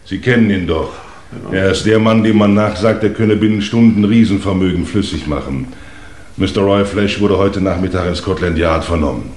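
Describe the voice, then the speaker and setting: An actor playing a stern, methodical Scotland Yard investigator in a 1950s German radio crime play, formal and deliberate. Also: male voice, low voice, a little mellow, a little hiss or hum, lightly acted. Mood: official. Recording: roomy old radio play recording.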